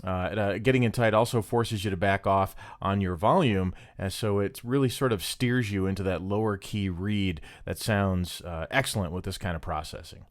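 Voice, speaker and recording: A man's voice: low voice, moderate pace, few pauses, no room sound, clean studio sound. A man casually explaining an audio production technique in a tutorial style.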